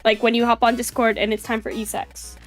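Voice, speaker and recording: A woman's voice: on the high side, level tone, brisk, soft background music. A woman livestreamer chatting casually and playfully, swearing freely and mimicking accents for fun. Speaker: livestreamer reacting to videos.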